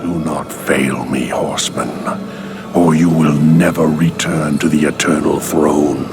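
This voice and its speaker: A man's voice: very deep voice, fairly even intonation, gravelly, full character voice. An actor playing an ancient, imperious king of the dead in a video game, haughty, bitter and menacing. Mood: commanding. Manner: slow, haughty, commanding. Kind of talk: dramatic video game acting. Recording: loud background music.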